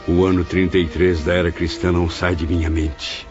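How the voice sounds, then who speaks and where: An actor playing a repentant male spirit who reflects solemnly on his afterlife in an audio drama, with measured literary phrasing. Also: low-pitched, level tone, close to an everyday voice. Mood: solemn, remorseful. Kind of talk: audio drama acting and first-person narration. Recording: dull, band-limited audio under clear music.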